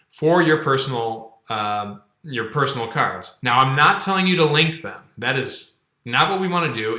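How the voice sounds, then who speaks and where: A man's voice: medium pitch, bright, forceful tone. A man in his thirties giving a casual, conversational tutorial as an instructor, explaining step by step and often checking in. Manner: conversational, explanatory, frequent check-ins like "okay" and "all right". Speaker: accounting course instructor. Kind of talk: casual screen-share tutorial. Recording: roomy, echoing indoor sound.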